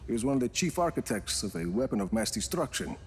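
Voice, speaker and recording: An actor playing a confident, rugged video game action hero, speaking in a dry, laconic way. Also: male voice, medium pitch, very animated, quick pace, a little mellow, slight background noise. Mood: probing. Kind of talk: cinematic video game acting. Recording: music behind.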